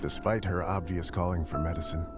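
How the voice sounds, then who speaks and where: A man's voice: low-pitched, steady tone, over loud music, lightly acted. A middle-aged man playing a proud, grieving uncle who gives a solemn, tender eulogy in a dramatic video game. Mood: solemn, consoling. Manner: measured, solemn, tender oratory. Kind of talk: dramatic game voice acting, funeral speech.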